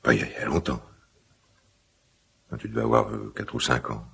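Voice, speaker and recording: Middle-aged dad in a radio drama, super low raspy smoker voice, halting, guilty but tender. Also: male voice, pushed and bright, heavily acted. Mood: haunted, confessing. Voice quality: gravelly, husky smoker's voice. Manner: hesitant, halting, confiding. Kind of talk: naturalistic French radio drama dialogue.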